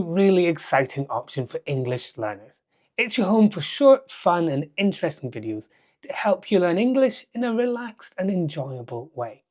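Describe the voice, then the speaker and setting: A man presenting as a language teacher, with simple, clearly phrased scripted explanations for learners. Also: a little high, a little mellow.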